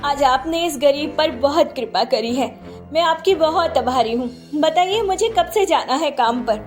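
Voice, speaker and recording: A woman's voice: high-pitched, animated, a little slow, soft background music. A woman voicing a poor, humble young beggar woman in a cartoon story, speaking in a pleading, grateful manner.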